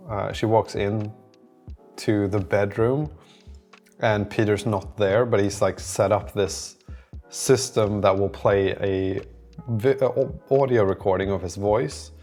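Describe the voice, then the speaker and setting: A man with a fairly low voice records a casual developer vlog. He thinks aloud, rambling and hesitating as he goes, with faint music in the background. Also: fairly even intonation, measured pace, a little mellow. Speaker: app developer, vlog host.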